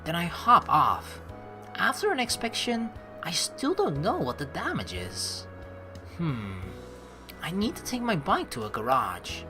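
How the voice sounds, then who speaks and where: A woman playing through a game as host, reading its text aloud and chatting with playful, expressive commentary. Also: lowish voice, measured pace, over music. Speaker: let's play host.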